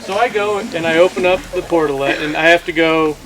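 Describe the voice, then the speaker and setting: A middle-aged man telling an informal comic story to a group, acting out the dialogue in an animated way. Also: fairly high pitch, fairly quick. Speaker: campfire storyteller. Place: outdoors among a gathered crowd. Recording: noisy outdoor recording with audience chatter. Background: audience sounds, outdoor ambience.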